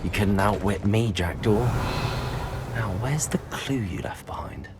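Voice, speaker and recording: A man playing a composed, inquisitive young wizard student in a video game, speaking in a measured way. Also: lowish voice, expressive, music in the background. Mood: quiet musing.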